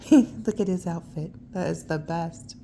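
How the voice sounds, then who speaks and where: A woman's voice: on the low side, slow pace, mellow. Woman reading a storybook, noisy recording. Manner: folksy, cooing remarks on the pictures. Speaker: storyteller reading picture books for children. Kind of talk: bedtime read-aloud with casual asides.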